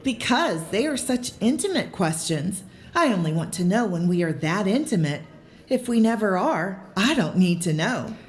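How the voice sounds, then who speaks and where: A woman playing a gentle, earnest young woman. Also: mid-range voice, sing-song, moderate pace, close to an everyday voice. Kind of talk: audio drama acting.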